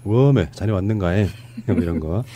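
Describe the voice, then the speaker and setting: A man's voice: deep voice, lively intonation, normal speed, mellow. A man hosting a casual panel chat, joking and teasing his guests. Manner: joking, teasing, laughs often. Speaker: host.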